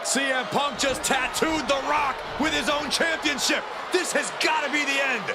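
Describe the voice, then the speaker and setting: A man working as an excited wrestling color commentator in a video game, with punchy delivery. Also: very high-pitched, moderate pace, loud music behind the voice. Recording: roaring arena crowd, heavy noise. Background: loud wrestling crowd.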